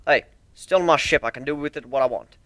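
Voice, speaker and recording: Here is a boastful minotaur airship captain, played by a man reading a story aloud, hearty and brash. The voice is raised and forceful, with folksy salesman patter and a bright edge. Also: a little high, brisk, dry recording. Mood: assertive. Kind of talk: dramatic fan fiction reading.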